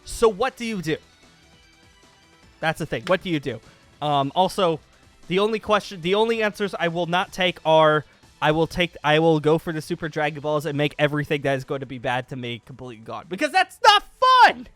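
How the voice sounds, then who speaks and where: High-pitched rambling man, casual gaming vlogger. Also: very animated, fairly quick, a bit bright, dry recording, soft background music. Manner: rambling, repeats phrases for emphasis. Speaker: YouTube host pitching a gaming roleplay series. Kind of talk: casual excited vlog chat over gameplay.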